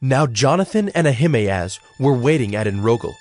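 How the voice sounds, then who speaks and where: Measured male scripture narrator over soft music. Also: mid-range voice, animated.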